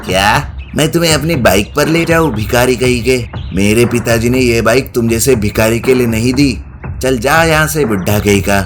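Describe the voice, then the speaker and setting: A man's voice: mid-range voice, normal speed, a little hiss or hum, lightly acted. Man acting an insolent, spoiled young rich guy. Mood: scornful. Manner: mocking, insolent. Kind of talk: acted dialogue in a moral comedy story.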